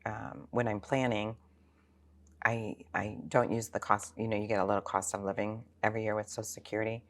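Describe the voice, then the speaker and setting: A sixty-year-old woman speaking as a financial planner in an interview, explaining things informally with examples and rhetorical questions. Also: very deep voice. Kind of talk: conversational interview answers.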